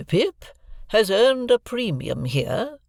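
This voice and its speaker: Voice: medium pitch, very animated, takes it slowly, vocal fry, a little mellow, dry recording, clean studio sound, voice pushed up, heavily acted. A reader voicing an imperious, eccentric old rich woman who speaks in a stately, emphatic, commanding way.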